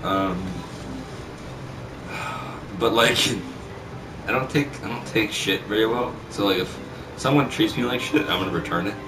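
A man's voice: lowish voice, unhurried, long pauses, background noise. A young man chatting casually in a small bedroom, telling stories in a brash, sweary way and repeating himself. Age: teenager or young adult. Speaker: vlog host telling school stories. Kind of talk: casual storytelling chat. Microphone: camera mic at a distance. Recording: distant mic with loud music.

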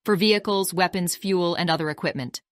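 A woman's voice: fairly low pitch, a little fast, dry recording, studio quality, natural voice. An actress playing a businesslike female former army officer in an audiobook drama. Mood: matter-of-fact.

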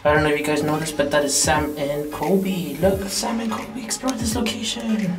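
A young man, hosting a casual vlog and telling rambling, joking stories while filming himself in a dark abandoned prison. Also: on the high side, little pitch movement, moderate pace. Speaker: vlog host. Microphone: handheld camera at arm's length. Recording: echoey concrete halls with music.

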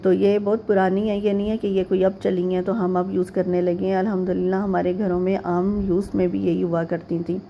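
A middle-aged woman from Pakistan narrating a home vlog in a rambling, chatty way, with frequent pauses and fillers. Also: medium pitch, little pitch movement, dull audio, slight background noise. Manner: homely, chatty tone. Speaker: vlogger. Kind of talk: casual chatty voice-over narration.

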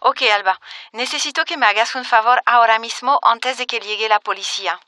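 A woman playing a brisk, purposeful friend giving instructions in a radio drama. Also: on the high side, bright, forceful tone, dry recording. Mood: urgent, purposeful. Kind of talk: radio drama phone dialogue.

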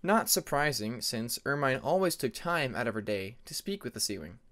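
A man's voice: mid-range voice, big pitch swings, moderate pace, a bit bright. A man narrating a fantasy story as an amateur audiobook reader, in a dramatic scripted style.

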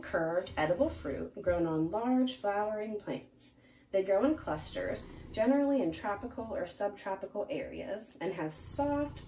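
A young adult woman presenting a picture-book read-aloud in an expressive, emphatic way for young children. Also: medium pitch. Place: small indoor room, seated in armchair. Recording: roomy, distant mic, faint noise.

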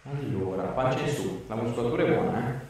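A man's voice: medium pitch, fast talker, darkish tone, very echoey. Man, chiropractor, short reassuring instructions, distant mic, faint background noise. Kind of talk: instructing a patient during treatment. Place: small treatment room, indoors.

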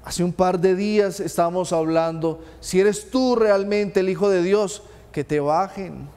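A man in his thirties, a friar, preaching a homily in a small chapel, earnest, addressing listeners with direct questions and dropping low on key phrases. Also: a little slow, dark tone, smooth. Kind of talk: sermon. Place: small chapel with stained glass. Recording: long chapel reverb.

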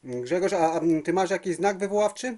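A man's voice: sits high, fairly quick, heavy background noise. A man works as a radio operator. He chats casually with other stations, often hesitating and repeating himself as he goes. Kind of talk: casual radio contact chat. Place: at a home radio base station.

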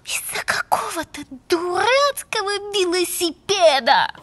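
A woman's voice: very high voice, sing-song, measured pace, fairly bright tone, a little hiss or hum, exaggerated voice. A woman voicing a theatrical, self-pitying winged pig in a cartoon, gushing and plaintive, with music behind her. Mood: sulky. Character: melodramatic, self-pitying winged pig girlfriend, squeamishly dramatic. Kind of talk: animated cartoon voice acting.

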